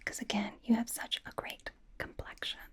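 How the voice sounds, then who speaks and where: A woman's voice: moderate pace, lightly acted. A woman playing a bubbly, devoted young K-pop idol and stylist in an ASMR roleplay, whispering in a gushing, rambling, playful way. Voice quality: breathy whisper.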